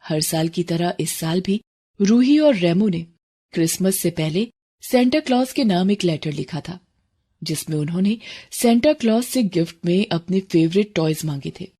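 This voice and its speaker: A woman's voice: on the low side, expressive, moderate pace, mellow, slightly rough. A woman narrator reading a scripted moral story for children.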